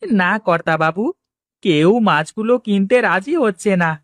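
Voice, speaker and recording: A woman's voice: mid-range voice, lively intonation, unhurried, dry recording, lightly acted. Woman acting an obedient servant lad, dry sound. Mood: alarmed. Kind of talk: cartoon dialogue acting.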